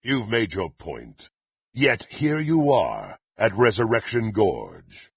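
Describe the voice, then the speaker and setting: A haughty, power-hungry dark warrior for a fantasy video game. His low, rasping male voice swings dramatically in pitch as he delivers threats in lofty, old-fashioned phrasing. He sounds violent, proud and utterly menacing. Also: wildly swooping pitch, takes it slowly, dry recording, full character voice. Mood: haughty, brooding. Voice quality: gravelly, raspy. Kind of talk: theatrical fantasy game voice acting.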